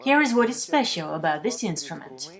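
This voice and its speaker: A woman's voice: lowish voice, sing-song, a little fast. Woman reading a news voice-over translation. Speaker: voice-over interpreter for a news feature.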